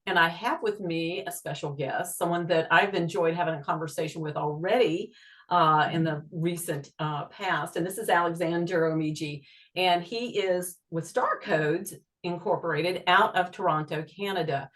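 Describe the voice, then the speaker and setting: A woman's voice: lowish voice, fairly even intonation, normal speed, a bit bright. A woman hosting an interview, introducing her guest in a chatty way with fillers. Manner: chatty, with fillers and backchannel murmurs. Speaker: interview host.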